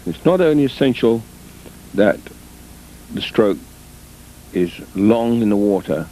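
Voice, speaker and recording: A man giving measured, deliberate instructional sports commentary as a coach, in short phrases broken by pauses, on an old VHS tape recording. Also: very animated, mellow. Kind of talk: instructional film commentary over footage. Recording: noisy, muffled old VHS tape, rumble.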